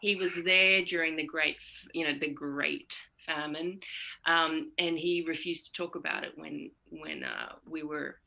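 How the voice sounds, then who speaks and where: Woman, Australian accent, interview guest, casual chat, bright voice, lower pitch. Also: very animated, measured pace, vocal fry, poor audio.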